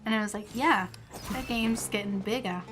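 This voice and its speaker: Girl streamer chatting away over gameplay, highish voice, bit of music behind her. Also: normal speed. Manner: chatty, rambling train of thought. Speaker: game streamer. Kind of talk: casual live gameplay commentary.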